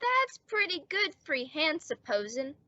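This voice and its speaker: A woman playing an earnest, dim but scheming teenage boy in an old-time radio sitcom, pitching silly arguments very formally. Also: big pitch swings, unhurried, sharp tone, no echo, heavily acted. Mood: hopeful, wheedling. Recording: dull, lo-fi audio.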